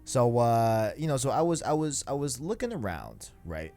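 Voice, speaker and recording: A man's voice: mid-range voice, big pitch swings, normal speed, mellow, soft background music. A man hosting a podcast, chatting casually about video games in slangy speech and often repeating himself as he agrees. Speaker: podcast host.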